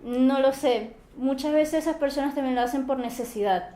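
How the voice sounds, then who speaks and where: A young woman with a Venezuelan accent from Maracaibo speaks in a calm, measured way. Her voice sits a little high and sounds mellow. The room around her is echoey. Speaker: interviewee. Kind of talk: interview.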